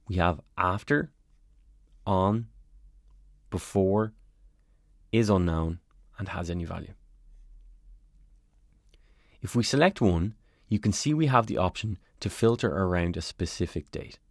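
A man calmly narrating a step-by-step software tutorial as a voice-over on a screen recording. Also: sits low, no echo, clean studio sound. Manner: calm, step-by-step explanatory.

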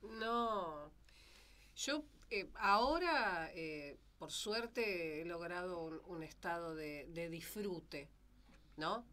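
A young Rioplatense woman, a singer and vocal teacher, talking as a guest in a radio studio. She speaks slowly and unhurriedly, pausing on fillers as she gathers her thoughts, into a close studio mic. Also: fairly low pitch, lively intonation, fairly bright tone. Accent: Rioplatense Spanish. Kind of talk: casual studio chat. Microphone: studio mic with headphones.

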